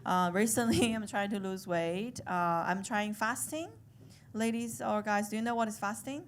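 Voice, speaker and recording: A woman in her thirties from China with a Chinese accent, whose first language is Chinese, doing a stand-up comedy set with deadpan, self-mocking delivery. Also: mid-range voice, normal speed. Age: 30s. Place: comedy club stage.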